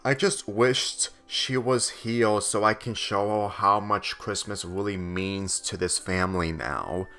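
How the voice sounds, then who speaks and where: A man's voice: lowish voice, measured pace, few pauses, bright, forceful tone. A man voicing an earnest, wistful middle-aged father in a story reading, speaking plainly.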